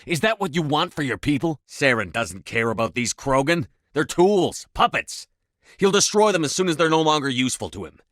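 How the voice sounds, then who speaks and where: An actor playing a tough, decisive space-marine commander in a video game, speaking in a clipped, authoritative military manner. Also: male voice, fairly high pitch, sing-song, moderate pace, a bit bright, no echo, studio quality. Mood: stern, forceful. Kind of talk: video game dialogue lines.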